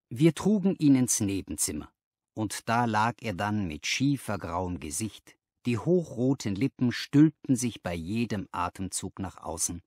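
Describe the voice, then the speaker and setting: A man's voice: fairly low pitch, darkish tone, no room sound, studio quality. A man reading a story aloud as scripted literary narration. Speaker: audiobook narrator.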